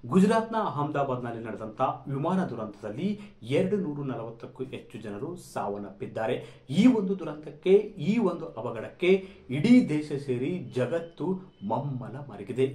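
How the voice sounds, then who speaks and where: A man's voice: mid-range voice, darkish tone. A man presenting explanatory news to viewers, emphatically repeating and rephrasing key phrases. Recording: echoey, reverberant room.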